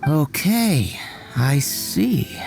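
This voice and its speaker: A slippery underworld informant in a game. He sounds evasive and bumbling, with animated pitch and hesitant backtracking over faint music. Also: extremely slow, creaky, heavily acted. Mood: explanatory. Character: shifty male thief informant, evasive and bumbling. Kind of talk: video game voice acting.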